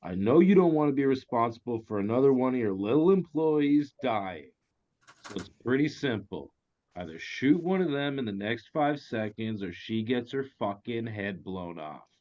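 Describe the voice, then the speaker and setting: Man reading a swaggering, animated goon boss, muffled audio. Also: mid-range voice, moderate pace, natural voice. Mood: cold threat. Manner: mocking, profane, condescending. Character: swaggering, foul-mouthed male goon boss making threats. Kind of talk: comedic script table read. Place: virtual table read over video call. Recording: dull, lo-fi online call audio.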